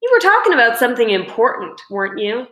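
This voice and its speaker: A woman's voice: fairly high pitch, very animated, fast, fairly bright tone. A woman reading a children's storybook, playing an annoying, cocky schoolboy with a mocking, needling manner. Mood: mocking, teasing. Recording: roomy indoor echo.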